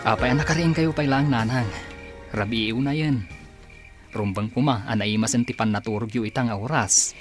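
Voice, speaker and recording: An actor with an Ilocano accent playing a humble, polite blind young singer and devoted son in a radio drama. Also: male voice, mid-range voice, moderate pace, soft background music, close to an everyday voice. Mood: gentle, reassuring. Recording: clear background noise, chirping crickets.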